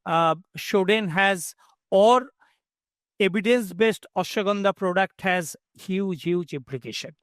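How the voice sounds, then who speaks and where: Male expert panelist, high-pitched, halting emphatic explanations, dry acoustic. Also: fairly bright tone, no echo. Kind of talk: explanatory webinar answers.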